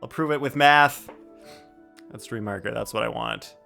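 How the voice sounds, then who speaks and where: A man's voice: medium pitch, moderate pace, creaky, pushed and bright, faint music behind. A man doing casual live commentary as he streams a video game, playful, joking and mock-argumentative.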